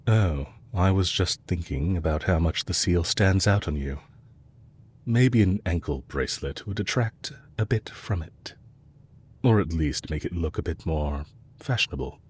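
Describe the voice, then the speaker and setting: Villain leader for intimate audio roleplay, male actor: low, husky register, courteous and measured, calculating under a gently teasing manner. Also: lively intonation, full character voice. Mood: playfully suave. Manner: polite, measured, softly teasing. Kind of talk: intimate scripted audio roleplay addressed to the listener.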